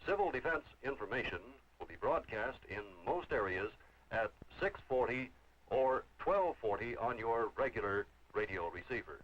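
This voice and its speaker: A man's voice: medium pitch, expressive, moderate pace. Formal man announcing an emergency radio alert. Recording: dull old radio broadcast sound.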